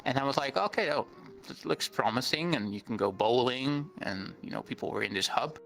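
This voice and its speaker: A man whose first language is Dutch, a guest on an interview, explaining things earnestly with many pauses and ums. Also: mid-range voice, lively intonation, vocal fry, a bit bright, faint music behind, low-quality recording. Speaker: guest streamer. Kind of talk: interview conversation.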